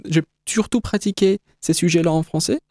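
A man's voice: high-pitched, moderate pace, a little mellow, no echo, studio quality. A man whose first language is Kabyle, an exhibition creator giving a radio interview, speaking hesitantly with many fillers and restarts. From North Africa (Kabyle).

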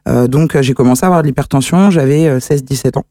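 A woman's voice: rumbling low, fast, dark tone, no room sound. A woman in her thirties, a guest on a local radio show, talking frankly and chattily about her own experience in a studio. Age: 30s. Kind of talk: casual radio chat, personal testimony. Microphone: studio microphone.

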